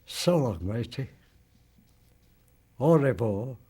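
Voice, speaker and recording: A man's voice: mid-range voice, expressive, slow pace, dark tone, slightly rough. An elderly man voicing a cheeky, talkative young Cockney soldier in a recited war poem. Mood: fading, tender. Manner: cocky, chatty, showing off bits of French.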